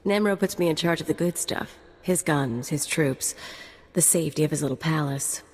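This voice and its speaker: A low, husky, teasing security chief. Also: female voice, moderate pace. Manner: teasing, sultry, mocking. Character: flirtatious, dangerous female security chief. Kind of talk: video game voice acting. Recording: reverberant game mix.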